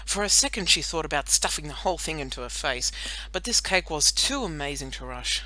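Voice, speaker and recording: A woman narrating a children's story in an expressive, animated storytelling manner. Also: sits low, brisk, rough recording. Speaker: audiobook narrator.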